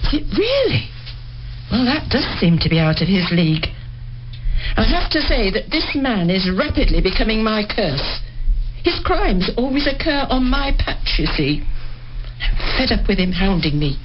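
A woman's voice: medium pitch, big pitch swings, normal speed, close to an everyday voice. An actress playing a put-upon, formal woman police inspector with a wearily complaining manner in a radio play. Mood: exasperated. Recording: noisy, muffled radio play recording.

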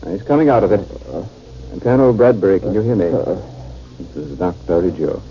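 A man in a 1950s radio drama, playing a calm, commanding military surgeon who gives clipped instructions. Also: low voice, fairly quick. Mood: calm, attentive. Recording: muffled, noisy 1950s radio recording.

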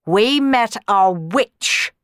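A woman's voice: on the high side, lively intonation, measured pace, a bit bright, no echo, studio quality, voice pushed up. A woman storyteller voicing a blustering, snobbish older baron who splutters, snarls and gives orders. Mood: furious.

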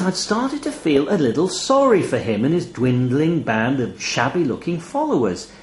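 A man narrates a literary short story in the first person. His delivery is wry and dramatic, with lively intonation at a middling pitch, and the recording has an audible room echo. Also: slight background noise. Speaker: story reader. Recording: roomy, echoey voice recording.

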